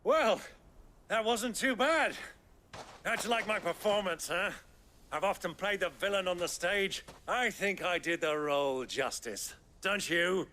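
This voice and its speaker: A man's voice: high-pitched, unhurried, bright, forceful tone, slight background noise. An actor playing a theatrical, boastful middle-aged nobleman in a video game cutscene. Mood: gleeful. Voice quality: theatrical, hammy. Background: game score.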